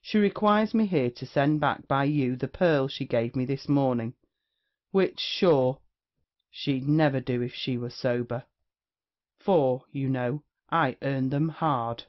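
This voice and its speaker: An actor playing a brazen, witty rake in a period stage comedy, declaiming with mocking insolence. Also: male voice, medium pitch, takes it slowly, lo-fi sound, close to an everyday voice. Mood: teasing. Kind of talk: theatrical period comedy acting.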